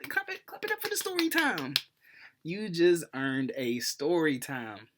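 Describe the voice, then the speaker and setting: A man in his twenties with an American accent, hosting a casual storytelling vlog in an animated, playful, slangy way. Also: on the high side, unhurried, background noise. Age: 20s. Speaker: YouTube host.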